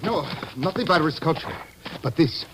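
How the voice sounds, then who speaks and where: An actor playing a grave, earnest official in a 1940s radio drama. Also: male voice, a little high, lively intonation, moderate pace, close to an everyday voice. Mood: urgent. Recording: noisy old radio broadcast recording.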